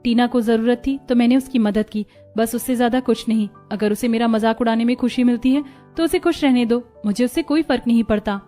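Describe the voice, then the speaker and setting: A woman's voice: a little high, brisk, dark tone, soft background music, lightly acted. A woman playing a poor, earnest, self-sacrificing young college student in a cartoon story, speaking plainly. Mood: calm, dignified. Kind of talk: Hindi cartoon story dialogue.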